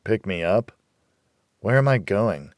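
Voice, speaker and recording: A man's voice: on the low side, animated, takes it slowly, no room sound, clean studio sound. A man voicing a wary, frightened young girl in an audiobook. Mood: worried. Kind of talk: audiobook character voice.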